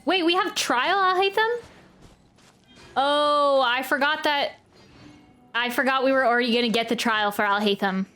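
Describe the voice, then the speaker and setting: A woman's voice: sits high, normal speed, long pauses, bright, forceful tone. A woman doing casual live commentary as she plays a game, exclaiming and repeating short phrases as she reacts. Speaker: game streamer reacting to a playthrough. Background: game music.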